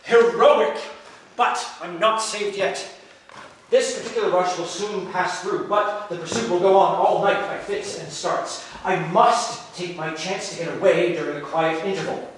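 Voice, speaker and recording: Male stage role: actor as frank, unheroic professional soldier, high-pitched and courteous, heard distantly in a very echoey theater. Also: a little slow. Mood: weary, matter-of-fact. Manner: theatrical, courteous, matter-of-fact. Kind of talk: live stage acting of a period comedy. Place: indoor stage in an arts center, recorded from afar. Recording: distant, very echoey theater recording, faint noise.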